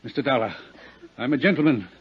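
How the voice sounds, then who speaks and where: An actor playing a gentlemanly, protective husband with formal, measured and politely menacing speech, in 1950s radio drama style. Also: male voice, mid-range voice, breathy edge, lightly acted. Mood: cool menace. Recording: dull 1950s radio recording.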